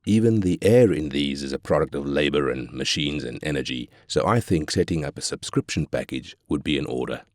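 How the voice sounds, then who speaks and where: An arrogant business magnate with an extremely deep voice, recorded dry and close. He is brash, cynical and commanding, a callous corporate boss from a strategy game. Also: lively intonation, a little fast, few pauses, no room sound. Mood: brash, mocking. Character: ruthless, arrogant South African corporate tycoon. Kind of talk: scripted game character quotes.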